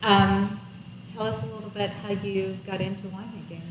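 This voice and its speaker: A woman's voice: mid-range voice, little pitch movement, normal speed. A woman hosting an interview, chatty and encouraging, with frequent short agreements. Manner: warm, chatty, many backchannels. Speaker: host. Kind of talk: casual interview. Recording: echoey room with background noise.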